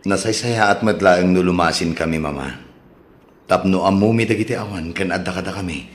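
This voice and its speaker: Man acting a deep-voiced, gentle young Ilocano husband. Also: brisk, natural voice. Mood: pleading. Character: patient, devoted young husband and dutiful son-in-law or son. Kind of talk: Ilocano radio drama acting.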